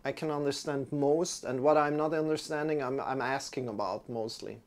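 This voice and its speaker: A man's voice: mid-range voice, fairly even intonation, moderate pace. German man chatting casually, restarting often. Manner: rambling, friendly, restarts often. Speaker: yoga teacher on a livestream. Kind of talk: casual chat with live viewers. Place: indoor room, sitting on a yoga mat.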